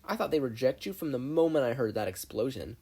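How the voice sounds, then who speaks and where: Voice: medium pitch, lively intonation, fast, mellow, husky. A performer voicing a snarky, dismissive teenage girl inventor, needling a rival in a story reading. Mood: snide. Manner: needling, unimpressed.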